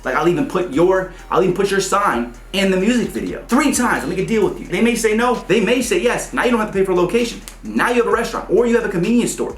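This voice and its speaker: A man giving casual music business advice, fast and animated as he breaks down examples. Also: sits high, reverberant. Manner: fast, animated, pointing things out. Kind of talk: casual advice talk to the camera.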